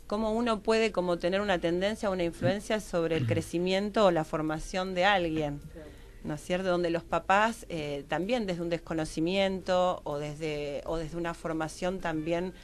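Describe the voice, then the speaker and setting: A woman with an Argentine accent explaining as a radio interview guest, searching for her phrasing and often checking with tag questions. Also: lowish voice. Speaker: interview guest, psychologist and university teacher.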